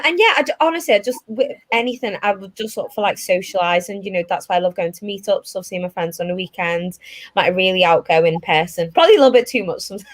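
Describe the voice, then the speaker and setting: A woman with a Liverpool accent, a tech recruiter speaking as a guest on a webinar in a chatty way, with lots of fillers, over a video call. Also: on the high side. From Liverpool, England. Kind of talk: casual webinar talk.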